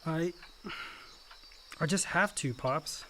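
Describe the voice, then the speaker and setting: A man's voice: on the high side, slow pace, pushed and bright, a little hiss or hum. A man acting an earnest, dutiful young adult son, the family peacemaker, in a naturalistic radio play. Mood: pained, confessional.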